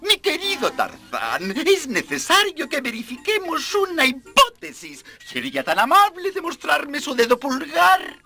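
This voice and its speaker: An actor playing a courteous, effusive old professor and explorer in a theatrical cartoon style. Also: male voice, very high voice, wildly swooping pitch, a little slow, creaky, sharp tone, faint music behind, full character voice. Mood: effusive, pleading. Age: older. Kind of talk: animated cartoon dub.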